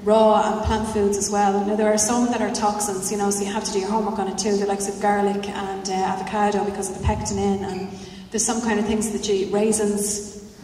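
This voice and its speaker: A woman's voice: mid-range voice, little pitch movement, a little fast, hardly any pauses, mellow. A woman answering at a panel discussion, rambling and full of "you know" asides. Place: large hall at a festival panel. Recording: roomy hall, distant mic, faint noise.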